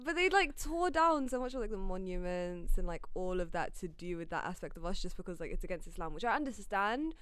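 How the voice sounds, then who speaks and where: A young woman, co-hosting a casual chat with friends, joking and often trailing off quietly. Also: lowish voice, expressive, fairly quick, few pauses, clean tone, no room sound. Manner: laid-back, joking, trails off into a murmur.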